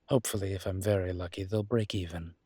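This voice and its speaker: Man playing a low, husky, charming young mafia boss. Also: fairly even intonation, fast, a little mellow, no room sound. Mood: wry, fond. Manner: playful, smooth-talking, teasing. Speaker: voice actor in a roleplay audio. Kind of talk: intimate audio roleplay acting.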